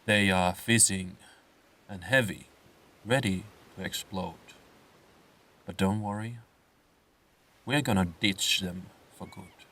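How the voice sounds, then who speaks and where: Slow, low-voiced man guiding meditation. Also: fairly bright tone, distant mic. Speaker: guided meditation narrator. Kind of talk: slow scripted guided meditation with comic swearing.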